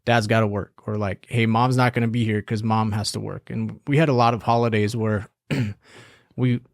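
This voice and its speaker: A young man from Southern California co-hosting a podcast, relaxed and reflective in casual chat, often saying "like". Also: fairly low pitch, moderate pace, no room sound, studio quality. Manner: easygoing, reflective, says "like" often. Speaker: podcast co-host.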